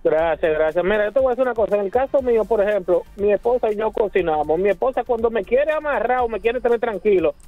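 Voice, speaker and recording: A chatty man calls in to a radio show. His voice is high and comes through a thin, tinny phone line, with faint music underneath. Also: lively intonation, fast, few pauses. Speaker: caller. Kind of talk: phone-in comment.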